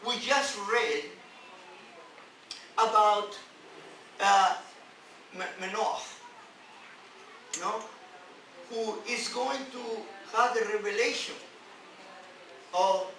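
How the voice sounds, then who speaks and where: High-pitched male rabbi preaching, very echoey. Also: bright, forceful tone. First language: not English. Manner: emphatic, halting phrasing with repetition and rhetorical questions. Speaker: rabbi giving a teaching. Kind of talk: sermon on scripture. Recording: distant, very echoey, noisy room recording.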